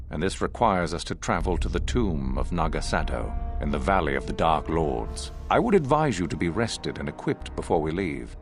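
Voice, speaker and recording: An actor playing a stern, scheming Sith master in a video game, speaking in a formal, measured, pompous way. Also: male voice, sits low. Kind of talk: theatrical video game villain acting.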